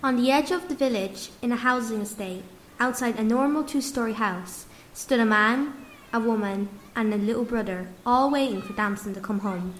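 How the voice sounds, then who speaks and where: A woman with an Irish accent reading a story aloud in a lively storyteller's way. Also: a little high, normal speed. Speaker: narrator. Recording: very echoey room, far from mic, faint noise.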